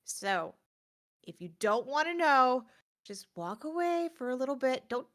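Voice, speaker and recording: A woman hosting a gaming show in casual chat, often slipping into animated quotes and asides. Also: sits high, unhurried. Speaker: host of a gaming news show. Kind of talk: casual chat about video games.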